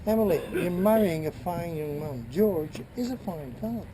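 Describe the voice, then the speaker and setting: A woman playing a calm father who firmly soothes his daughter. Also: fairly low pitch, moderate pace, natural voice, low-quality recording. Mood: soothing. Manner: soothing, firm. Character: calm steady father of the bride. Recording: clear background noise.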